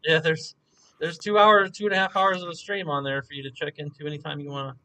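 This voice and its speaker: A young man chatting casually and joking while he builds a map on stream, thinking out loud as he works. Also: on the high side, fairly even intonation, quick pace, low-quality recording. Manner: joking, laid-back, thinking aloud. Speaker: mapmaker streaming his work. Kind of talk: casual chat while working.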